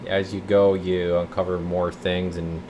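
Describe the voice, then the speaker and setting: A man's voice: low voice, little pitch movement, a little slow, noisy background. A man giving a casual, folksy, rambling walkthrough as a repair technician, thinking aloud as he goes. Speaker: radio repair technician presenting his repair videos. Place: radio repair workshop.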